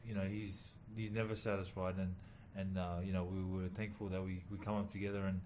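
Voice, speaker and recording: A man from New Zealand, a boxer, answering interview questions haltingly with many pauses and fillers. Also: low-pitched, level tone, slight background noise. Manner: halting, many ums and uhs, soft-spoken. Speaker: boxer and former rugby league player, guest. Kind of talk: casual interview.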